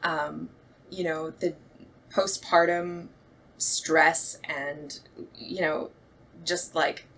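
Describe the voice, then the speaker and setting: Woman director chatting, kinda low voice but bright, reflective, bit of room noise behind her. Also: little pitch movement, very slow, pauses often, poor audio. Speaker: radio play director and actress. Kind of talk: casual chat.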